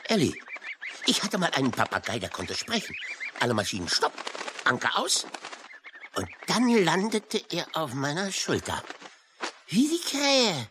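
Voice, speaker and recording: An actor playing a boisterous, yarn-spinning sailor uncle with salty, hearty exclamations in a children's audio drama. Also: male voice, on the high side, wildly swooping pitch, measured pace, pauses often, noisy background. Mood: blustery, curious. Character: boisterous, yarn-spinning old seafaring uncle with a wooden leg.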